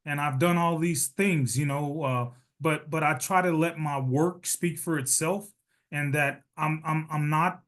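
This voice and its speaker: A middle-aged man answering as a panelist, animated and joking, often restarting his sentences. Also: fairly high pitch. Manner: animated, many restarts, self-deprecating humor. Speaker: panelist, retired captain and nurse leader.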